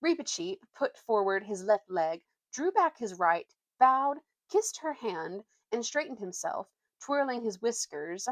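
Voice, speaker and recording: A woman's voice: medium pitch, sing-song, measured pace, hardly any pauses, dry recording. A young woman with an informal, youthful way of talking, reading a storybook aloud and chatting as a vlogger. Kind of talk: storybook narration and casual chat.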